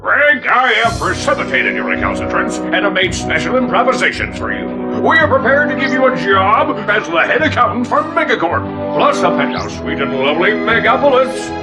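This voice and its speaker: A man voicing a pompous, excitable company founder in a video game, speaking in bombastic, mangled big words. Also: high-pitched, normal speed, few pauses. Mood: pompous briefing. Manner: grandiloquent, bombastic, full of malapropisms. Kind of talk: animated video game cutscene acting. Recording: loud music behind the voice.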